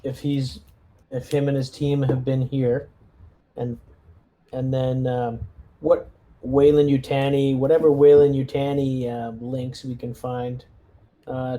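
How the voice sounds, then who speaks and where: A man's voice: medium pitch, completely flat delivery, unhurried, mellow. A man casually talking through plans as a player in a tabletop roleplaying game.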